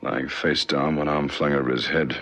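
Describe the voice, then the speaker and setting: A man's voice: rumbling low, steady tone, normal speed, a bit bright. A man playing a dry, seasoned police detective sergeant in an old radio drama, speaking in a wry, matter-of-fact way. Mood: watchful. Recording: noisy vintage radio recording with car sounds.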